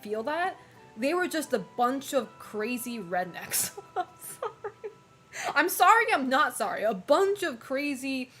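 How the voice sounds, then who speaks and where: A young woman streamer in casual chat, frank and talkative, laughing often and filling pauses with "like" and "um". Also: high-pitched, fairly bright tone. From United States and Japan. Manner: chatty, frank, laughs often, many fillers. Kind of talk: casual chat reading viewer messages.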